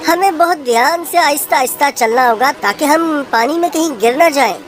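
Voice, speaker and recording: A woman's voice: high voice, moderate pace, pitched above the performer's own voice, natural voice. A woman playing an earnest, exasperated merchant man in a children's moral tale, exclaiming and scolding as the donkey's owner. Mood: concerned. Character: caring but exasperated male salt merchant, donkey's owner. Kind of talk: animated storybook character acting. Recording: music behind the voice.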